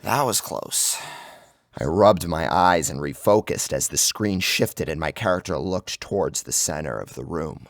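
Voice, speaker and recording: A man's voice: a bass voice, normal speed, creaky, few pauses, dry recording. A man reading a scripted first-person horror story as a narrator, with a dramatic, theatrical delivery. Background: loud music.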